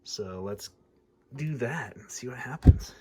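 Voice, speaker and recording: A man's voice: medium pitch, animated, slow, a little mellow. A man giving a casual hands-on demo and calling out as a CB radio hobbyist. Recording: clear background radio noise.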